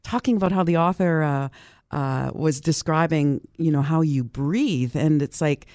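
A woman's voice: low voice, normal speed, a little mellow, no echo. Woman chatting about books, dry close sound. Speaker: book club participant. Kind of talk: casual book discussion.

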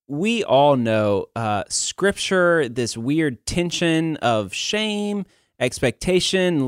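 A man's voice: a little high, dry recording, clean studio sound. A man hosting a podcast in a casual, conversational way, talking informally to other guys like buddies. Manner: informal, buddy-like address to male listeners. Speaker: podcast host.

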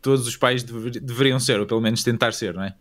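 A man's voice: mid-range voice, fast talker, fairly bright tone. A man with a European Portuguese accent giving casual interview answers with informal, hesitant phrasing. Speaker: interviewed father.

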